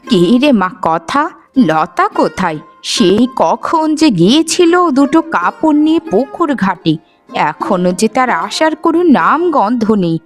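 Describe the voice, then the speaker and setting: A woman voicing a weary, middle-aged village mother in a cartoon, nagging and reproachful. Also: a little high. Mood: worried. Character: weary, scolding poor widowed village mother of twins. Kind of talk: animated folk-tale cartoon voice acting.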